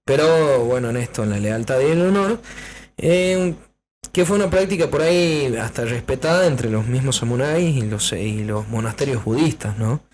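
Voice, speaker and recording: A man's voice: medium pitch, animated, moderate pace, darkish tone, a little hiss or hum. A man co-hosting a casual podcast, speaking hesitantly with frequent restarts. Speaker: podcast co-host.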